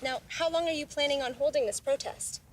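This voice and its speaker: A woman acts out a brisk local TV reporter on a live film-drama broadcast. Her voice is high, and it is picked up at a distance with some noise around it. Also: close to an everyday voice. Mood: businesslike. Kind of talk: live TV news report acting.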